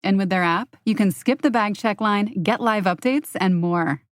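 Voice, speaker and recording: A woman delivers a scripted commercial voice-over. Her pitch is average, and the recording is very dry and close. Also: moderate pace, no echo. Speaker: advert announcer.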